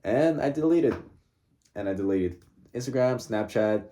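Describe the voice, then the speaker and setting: A man's voice: mid-range voice, lively intonation, unhurried, dark tone. A young man talking casually about his own life, rambling with lots of fillers and laughing at himself. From grew up in Russia from childhood. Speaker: vlogger. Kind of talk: casual personal monologue.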